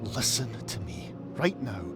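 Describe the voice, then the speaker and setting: An earnest ally in a narrative game. He speaks in deliberate, sincere phrases at a middling pitch, steady in his reassurance. Music is clearly audible behind him. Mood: reassuring. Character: earnest, reassuring male ally in secret resistance. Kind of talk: video game voice acting. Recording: background music under voice.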